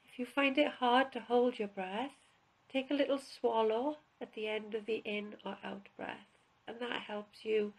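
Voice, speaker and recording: A woman instructor guiding a relaxation session in a calm, unhurried, instructional way with pauses. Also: on the high side, poor audio. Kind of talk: guided relaxation, spoken instruction.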